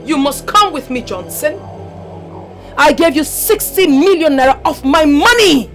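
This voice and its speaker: A woman's voice: fairly high pitch, a little slow, a bit bright, faint music behind. An actress playing the vengeful ghost of a dead woman in a film drama, with a Nigerian accent and a stern, commanding delivery. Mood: stern, demanding.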